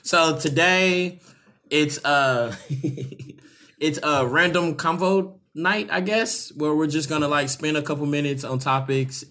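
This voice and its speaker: A man's voice: mid-range voice, fairly bright tone. A man of thirty hosting a casual group conversation, joking and laughing often. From Louisville, Kentucky. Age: 30s. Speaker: host.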